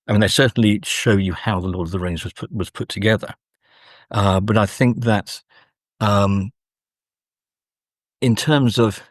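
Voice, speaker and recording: Male academic for interview, lowish husky voice, close dry sound, thoughtful and halting delivery. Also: dry recording. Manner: measured, hesitant, scholarly. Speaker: academic guest, author. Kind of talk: thoughtful literary interview discussion.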